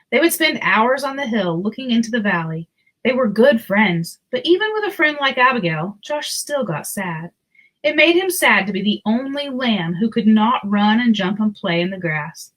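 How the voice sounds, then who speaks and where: A woman narrating a children's storybook aloud in an expressive, deliberate storytelling manner. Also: mid-range voice, poor audio.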